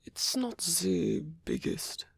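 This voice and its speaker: A man voicing a lonely old male vampire in an ASMR roleplay, gentle, rambling and bookish, with a soft, breathy, creaky voice and a halting, musing delivery. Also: sits high, very animated, no echo, full character voice. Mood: shy, inviting. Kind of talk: ASMR roleplay audio addressed to the listener.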